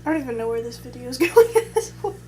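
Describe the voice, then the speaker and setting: A woman's voice: sits high, big pitch swings, fast, a little mellow, a little hiss or hum. A young adult woman vlogger in casual chat, talking in a hesitant, rambling way with many restarts.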